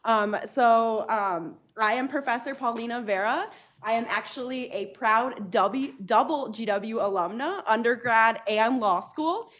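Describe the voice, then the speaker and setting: A woman's voice: on the high side, measured pace, fairly bright tone. A woman in her thirties from Arizona, a law professor introducing herself at a panel event, earnest, with frequent ums. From Arizona, United States. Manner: earnest, frequent ums, occasional light laughs.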